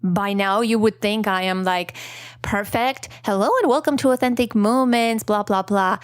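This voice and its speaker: A woman's voice: medium pitch, moderate pace, smooth, dry recording, clean studio sound. A middle-aged woman with an accent, whose first language is Armenian, hosting a podcast in a candid, self-deprecating solo reflection. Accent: non-native English. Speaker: podcast host.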